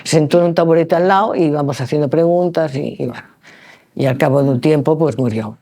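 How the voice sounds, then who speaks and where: Mellow-voiced Spanish woman from Barcelona, musing. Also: low-pitched, brisk. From Barcelona, Spain. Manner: discursive, self-correcting, frequent "¿no?" tags. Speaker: author interviewee. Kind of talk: rambling conversational interview answers. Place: lecture room at a university event.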